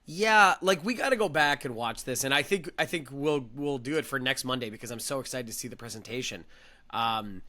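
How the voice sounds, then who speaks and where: A man co-hosting a casual sports podcast, enthusiastic and quick to laugh. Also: medium pitch, sharp tone. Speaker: podcast co-host.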